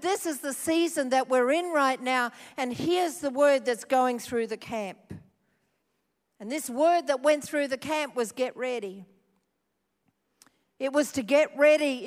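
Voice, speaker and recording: A woman pastor preaching a sermon, emphatic, repeating her phrases for effect. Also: sits high. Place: church hall. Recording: long hall reverb.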